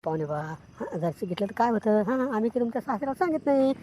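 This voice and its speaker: A woman's voice: on the high side, expressive, fast talker, mellow, natural voice. A woman voicing a coaxing, nosy village man in an amateur cartoon comedy, insistent and repetitive, with a rural Maharashtrian accent. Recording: noticeable background noise.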